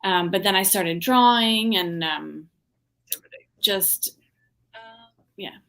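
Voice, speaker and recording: A woman from the Washington, D.C. area, an artist guest in a casual interview, talking reflectively with many ums and pauses. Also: medium pitch, a bit bright, soft background music. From Washington, D.C. area, USA.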